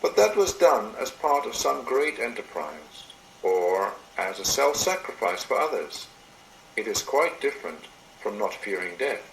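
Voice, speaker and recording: A man reads a sermon-like meditation aloud in a measured, solemn way with deliberate phrasing, recorded into a computer in a room. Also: lowish voice, sing-song, creaky, a bit bright. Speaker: reader of a meditation written by another. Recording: roomy, noisy amateur computer recording.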